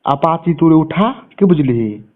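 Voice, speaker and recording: A man's voice: a little high, animated, measured pace. Tinny phone-line Bihari man, coarse-mouthed. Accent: Bihari (Mithila). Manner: coarse, swearing often. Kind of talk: informal phone conversation. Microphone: telephone. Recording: tinny narrowband phone call recording.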